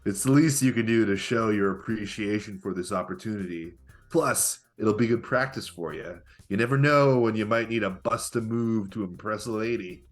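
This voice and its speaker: A man's voice: fairly low pitch, moderate pace, faint music behind, close to an everyday voice. A man playing a smug, pushy pickup-artist guru in a comedic table read, with a coaxing salesman manner. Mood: jovial, coaxing.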